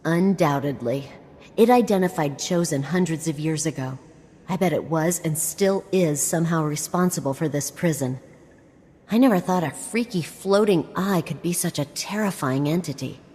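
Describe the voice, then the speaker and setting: A woman's voice: low-pitched, normal speed, a little mellow, close to an everyday voice. An actress playing a curious, bookish, uneasy woman explorer in a video game, with a wry, chatty manner. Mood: uneasy awe. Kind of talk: video game character dialogue. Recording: long echoing reverb.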